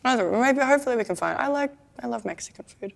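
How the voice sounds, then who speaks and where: A woman's voice: fairly high pitch, lively intonation, quick pace, vocal fry. A woman co-hosting a podcast in casual chat, chesty with a cough and losing her voice, hesitant and trailing off as she repeats herself. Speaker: podcast co-host.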